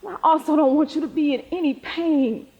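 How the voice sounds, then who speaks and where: High husky young woman, an actress playing her, grieving devoted granddaughter in a stage play, big echoey hall. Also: fairly quick, dull audio. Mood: grieving, tender. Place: theater stage in a reverberant hall.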